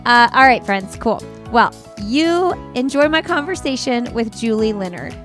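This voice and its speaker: A woman's voice: fairly high pitch, expressive, measured pace, a bit bright, soft background music. A woman hosting a parenting podcast, upbeat and enthusiastic in casual conversation. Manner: bubbly, enthusiastic. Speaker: podcast host.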